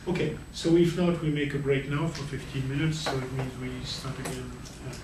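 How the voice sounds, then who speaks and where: A man's voice: medium pitch, fairly even intonation, moderate pace. A man lecturing at a university, hesitant and prone to repeating words. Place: lecture hall. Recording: echoey hall, distant mic, loud noise.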